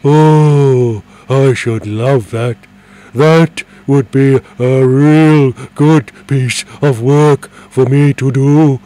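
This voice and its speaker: A man reading aloud and voicing a gentle, lonely male dragon who speaks in a plaintive, wheedling way. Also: mid-range voice, steady tone, extremely slow. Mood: excited.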